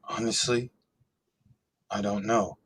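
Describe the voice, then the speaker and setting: A man voicing an uncertain young man with a husky voice and a terse, low-key manner in a radio play. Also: fairly even intonation, slow, a bit bright, slight background noise. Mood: doubtful. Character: uncertain, doubtful young man, a reluctant chosen one.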